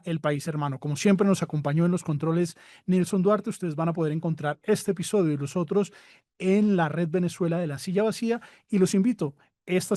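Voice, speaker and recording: Brisk, mellow male programme host. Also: fairly high pitch, no echo, clean studio sound. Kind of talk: presenting a radio-style interview show or podcast.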